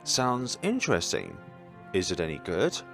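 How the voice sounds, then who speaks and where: A man's voice: deep voice, wildly swooping pitch, measured pace, creaky, music in the background, full character voice. A man voicing an awkward, well-meaning young male student in a visual novel, polite and hesitant as he makes small talk. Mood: curious. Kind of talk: visual novel read-aloud.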